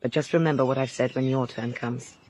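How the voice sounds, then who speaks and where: A woman acting in a radio drama as a bitter servant, blunt, pointed and quietly menacing. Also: a bass voice, fairly even intonation, brisk.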